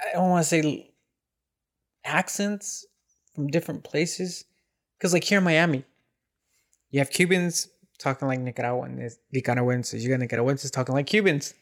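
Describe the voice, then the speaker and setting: A middle-aged man from Mexico co-hosting a podcast, talking in a reflective way and repeating words as he thinks. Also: fairly high pitch. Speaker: podcast co-host. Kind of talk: casual chat.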